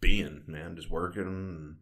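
A man's voice: deep voice, steady tone, takes it slowly, fairly bright tone. A young man co-hosting a podcast, chatting in a laid-back way with frequent swearing and restarts. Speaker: podcast co-host. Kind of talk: casual chat.

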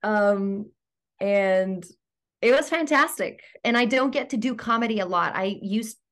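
A woman from Greece, an actor, chatting in a casual podcast interview, upbeat and quick to laugh. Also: medium pitch, a bit bright. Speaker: guest actor.

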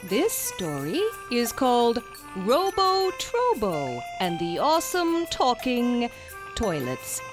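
A woman's voice: on the high side, wildly swooping pitch, takes it slowly, heavily acted. A woman playing a story machine that announces tales in a children's audio show. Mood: announcing. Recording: music and jingles behind.

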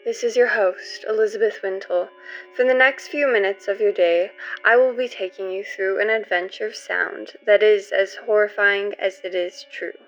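A woman of medium pitch narrates scripted radio theater as its host. She is theatrical and suspenseful, and the recording is slightly dull and band-limited. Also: steady tone, moderate pace, faint music behind.

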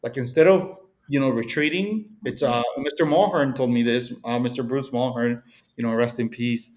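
A man's voice: mid-range voice, normal speed, darkish tone. A man with a Mexican accent, a real estate broker talking as a guest in a casual interview, rambling with many fillers and restarts.

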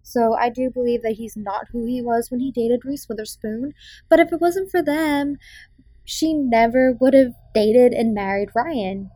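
Slow-talking chatty woman podcaster. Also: fairly high pitch, mellow, no echo, poor audio. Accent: faint Boston. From Massachusetts South Shore. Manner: chatty, opinionated, frequent ums and restarts. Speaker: podcast host. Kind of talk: casual movie review chat.

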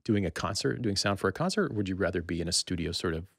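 Low-voiced man interviewing casually, dry recording. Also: lively intonation, creaky, dry recording. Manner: exploratory, halting, rambling questions. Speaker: podcast interviewer.

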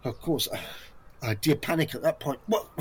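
Actor as an excitable, clueless British man, high-pitched. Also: normal speed, slight background noise. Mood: dramatic, panicky. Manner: rambling, melodramatic, self-justifying. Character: clueless, self-pitying, over-excitable male job candidate. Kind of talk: comic audio play acting.

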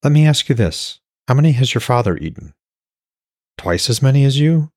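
A gentle, earnest mortal woman in love, played by a male narrator in a fantasy audiobook. The voice is lifted well above the reader's own, with a husky edge and a very dry, close sound. The lines come out frank and searching. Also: mid-range voice, expressive, normal speed, no echo, voice pushed up, close to an everyday voice. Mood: probing.

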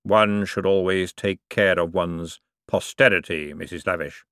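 A man voicing Death as a solemn, formal, patient spirit, with slow measured speech in an audiobook. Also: low-pitched, a bit bright, smooth, dry recording, clean studio sound. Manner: slow, measured, grave delivery.

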